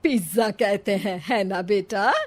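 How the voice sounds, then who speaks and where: A woman's voice: mid-range voice, unhurried, close to an everyday voice. Woman acting a breezy, bossy village mother-in-law. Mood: amused. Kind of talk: Hindi cartoon moral story acting.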